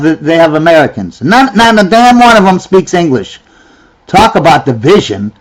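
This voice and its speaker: A man's voice: high-pitched, low-quality recording. A man giving reaction commentary in a ranting, sarcastic way, often repeating himself.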